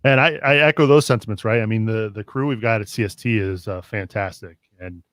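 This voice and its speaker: A man's voice: on the low side, fairly quick, no room sound, studio quality. A man hosting a soccer podcast, chatting casually and thanking guests as he wraps up. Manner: relaxed, wraps up segments with thanks. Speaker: podcast host. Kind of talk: casual chat.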